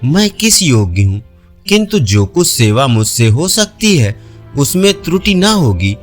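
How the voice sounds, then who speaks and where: A man's voice: on the high side, sing-song, a little slow, faint music behind. A man playing a humble young officer. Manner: humble, deferential. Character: humble young male officer, modest and grateful.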